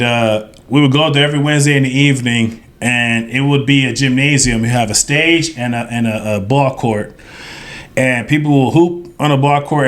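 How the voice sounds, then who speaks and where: A man in his thirties, a podcast guest, telling a story in a rambling way with many fillers and restarts. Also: mid-range voice. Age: 30s. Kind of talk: casual storytelling.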